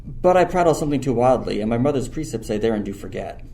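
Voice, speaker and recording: A student actor playing a bashful, innocent and devoted young lover in a Shakespearean radio play. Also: male voice, medium pitch, lively intonation, fast, dark tone, close to an everyday voice. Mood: shy, smitten. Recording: faint noise, ambient sound effects.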